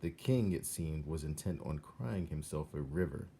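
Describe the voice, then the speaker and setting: A man reading a children's story aloud as an expressive narrator. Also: rumbling low, normal speed, slight background noise.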